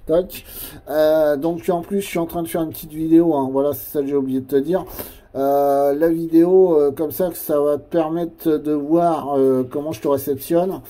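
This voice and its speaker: A man chatting casually as an amateur radio operator, in a hesitant, halting way that often repeats phrases. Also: a little high, steady tone, warm tone.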